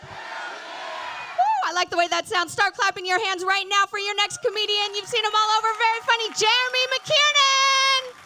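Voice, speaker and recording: A woman's voice: very high-pitched, fairly even intonation, normal speed, background noise. A woman hosting a comedy show, hyping the crowd and introducing the next comedian. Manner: hyped, drawing cheers from the crowd.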